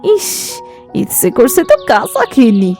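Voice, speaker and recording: A woman's voice: a little high, wildly swooping pitch, unhurried, a little mellow, faint music behind, heavily acted. A woman voicing a sly, gluttonous young daughter-in-law in a cartoon, with an exaggerated, theatrical manner. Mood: greedy glee. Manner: exaggerated, whining, gleeful. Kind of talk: Bengali cartoon voice acting.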